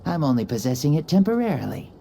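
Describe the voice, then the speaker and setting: A woman's voice: low voice, sing-song, fast talker, creaky, warm tone, a little hiss or hum, exaggerated voice. A woman voicing a playful ancient ruler in a boy's body, cocky and grandly dramatic, in a video game. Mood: breezy, confident.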